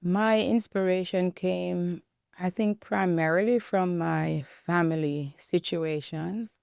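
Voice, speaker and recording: A woman in her fifties from Jamaica, with a Jamaican accent, answering interview questions in a reflective, measured way. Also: on the low side, vocal fry, a little mellow, smooth, dry recording, studio quality. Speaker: guest, educator and author. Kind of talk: interview conversation.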